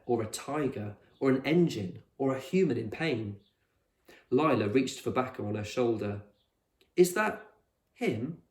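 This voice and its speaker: Male storybook narrator, mid-pitched, teacherly read-aloud in a reverberant room. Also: a little mellow. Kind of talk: scripted storybook narration for children. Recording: echoey room.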